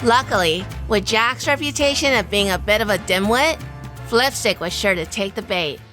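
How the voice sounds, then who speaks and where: A woman's voice: medium pitch, lively intonation, normal speed, a bit bright, faint music behind, natural voice. A woman playing a wisecracking, bossy private eye in a 1940s noir audio drama, sardonic and secretly lovestruck. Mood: wry, confiding. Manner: sardonic, scolding. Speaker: lead actor and narrator.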